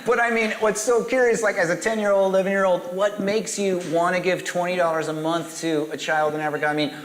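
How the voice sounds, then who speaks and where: A man's voice: high voice, fairly even intonation, a little fast. Upbeat man interviewing in an echoey hall. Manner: enthusiastic, prompting, laughs along. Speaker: interviewer, church host. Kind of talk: casual interview. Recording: echoey hall with long reverb.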